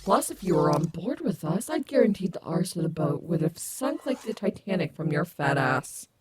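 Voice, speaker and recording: A woman's voice: lowish voice, very animated, moderate pace, over loud music. A woman playing a brash, protective animatronic wolf companion in an audio roleplay, by turns teasing and affectionate. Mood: sassy, exasperated. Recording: heavy outdoor ambience noise behind voice.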